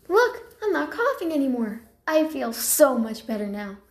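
Casting: young female warrior-cat kit, played by a woman, high voice, unhurried delivery, eager and excitable, roomy sound. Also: full character voice. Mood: excited. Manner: eager, breathless, expressive. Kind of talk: amateur character voice lines.

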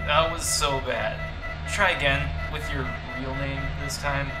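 A woman playing a hurried, evasive male pirate in a radio drama, brisk and distracted. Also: a bass voice, fairly even intonation. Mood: dry, strained. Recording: roomy sound, music behind.

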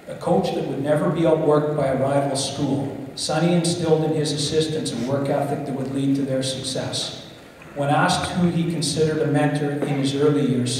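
A man's voice: mid-range voice, a little mellow, slight background noise. A middle-aged man, an athletic director, reads a formal induction citation at a lectern on a theatre stage, stumbling and correcting himself now and then. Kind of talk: formal speech read at a lectern. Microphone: lectern mic on a stand. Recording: echoey hall, mic heard from a distance.

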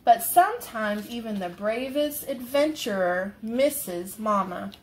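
A woman in her thirties reading a picture book aloud as a mother, pausing to explain it simply to a young child. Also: on the high side, animated, a little mellow, a little hiss or hum, rough recording. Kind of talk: storybook read-aloud. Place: small bedroom, indoors.

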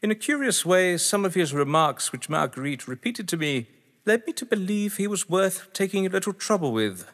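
A man's voice: high voice, big pitch swings, moderate pace, a bit bright, exaggerated voice. A man acting in an audio drama as a witty, haughty husband under questioning, verbose, sardonic and theatrical. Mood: smug. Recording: echoey hall-like reverb.

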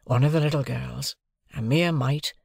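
A man narrates literary fiction for an audiobook. His mid-range voice has a noticeable gravelly creak. The recording is close and dry. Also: medium pitch, steady tone, normal speed, no room sound.

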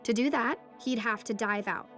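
A teenage girl, played by a woman, tells her story as narrator of an audio fiction. Her voice sits fairly high and carries a scripted storytelling style. Music plays clearly behind her. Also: normal speed, a bit bright, close to an everyday voice. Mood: narrative, suspenseful. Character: teenage daughter recounting her ocean adventure.

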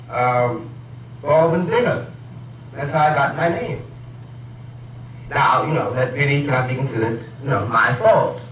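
A man telling a reflective story in a measured, ironic way, heard from an old film clip. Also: on the high side, a bit bright, reverberant, recorded from a distance, slight background noise, poor audio. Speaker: speaker in an archival film clip. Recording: old film clip played in a room from afar.

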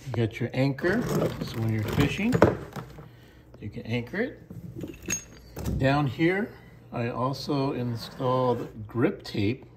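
A man casually showing off the boat he modified in his garage, speaking plainly and slowly with frequent fillers, recorded on a handheld phone. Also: medium pitch, background noise. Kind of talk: casual walkaround show-and-tell. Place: indoor home garage.

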